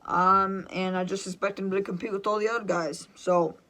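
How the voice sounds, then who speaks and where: This is a sports fan hosting their own football draft show, with a bright, forward voice. The delivery is opinionated and enthusiastic, in the style of unscripted pick-by-pick commentary. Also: mid-range voice, fairly even intonation, normal speed.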